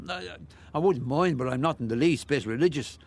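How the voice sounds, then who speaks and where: An actor playing a chatty, nosy older Irish ex-bricklayer who rambles and muses in a radio play. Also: male voice, medium pitch, fairly quick, creaky, darkish tone. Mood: rambling, bemused. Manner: garrulous, rambling, musing, with hesitations.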